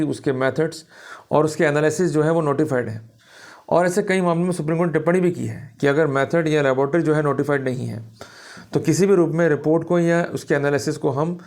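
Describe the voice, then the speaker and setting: Indian guy teaching like a law lecturer, kinda high voice, really stresses his points. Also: darkish tone. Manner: emphatic, didactic, checks understanding with questions. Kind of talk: instructional law lecture in Hindi mixed with English terms.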